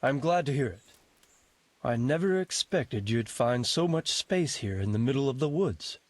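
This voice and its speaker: An actor playing an ageing medieval nobleman in a video game, practical, wry and quietly commanding. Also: medium pitch, expressive, no echo, lightly acted. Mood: measured, practical. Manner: measured, authoritative, wry. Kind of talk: video game cutscene acting.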